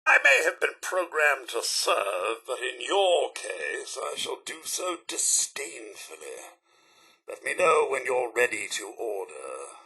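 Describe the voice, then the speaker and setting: A man's voice: medium pitch, bright, forceful tone, husky, exaggerated voice, rough recording. A man playing a prim robot butler in a video game, haughty, over-polite and theatrically gloomy. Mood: gloomy, resigned. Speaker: robot servant and attendant. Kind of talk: video game character acting.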